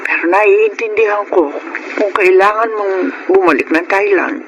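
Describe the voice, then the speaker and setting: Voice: medium pitch, normal speed, lightly acted. A performer playing a loving older mother in a Tagalog radio drama, with a Filipino accent and a tender, reassuring manner. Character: loving, supportive older mother of grown child. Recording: noisy radio drama recording.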